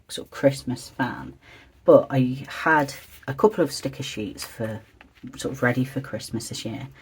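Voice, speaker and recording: Craft vlogger, mid-pitched and a little croaky, soft-spoken, chatty and hesitant, for a calm journaling video. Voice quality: slightly croaky, husky. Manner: hesitant, meandering, soft-spoken and low-key. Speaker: stationery and journaling video creator. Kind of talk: quiet, chatty craft vlog, thinking aloud while decorating.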